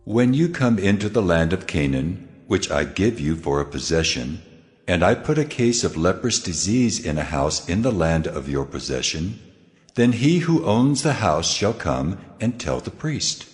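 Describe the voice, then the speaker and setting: Guy doing god's voice, super deep, solemn and commanding, big long reverb, faint music under it. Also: sing-song. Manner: solemn, measured proclamation. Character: the Lord, deep commanding divine male voice. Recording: long cavernous reverb added.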